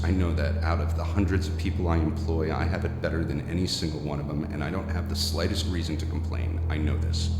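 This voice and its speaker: An actor playing a haunted, remorseful business tycoon in an audio horror drama, with a brooding, deliberate delivery. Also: male voice, extremely low pitch. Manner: brooding, deliberate, halting when shaken. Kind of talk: dramatic first-person horror narration and dialogue. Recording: loud music under the voice. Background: dramatic music.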